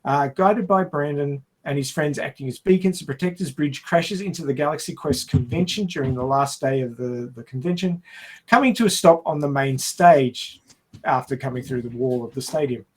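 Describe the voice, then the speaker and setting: A man's voice: a little high, moderate pace, darkish tone, rough recording. A man hosting a podcast, recapping and casually discussing a film. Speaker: podcast host.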